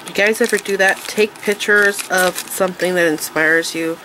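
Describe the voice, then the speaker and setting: A woman's voice: fairly low pitch, fairly even intonation, normal speed, noisy background. A woman with a congested, nasal voice from allergies, chatting casually and eagerly as she shows her craft supplies. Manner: chatty, enthusiastic, with fillers and restarts. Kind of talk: casual show-and-tell chat. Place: indoors at a tabletop, overhead camera on hands.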